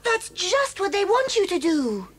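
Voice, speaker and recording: High-voiced, slow-spoken mother fox. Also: sing-song, exaggerated voice. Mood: stern, anxious. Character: protective, firm mother fox. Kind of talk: children's audio story dramatisation.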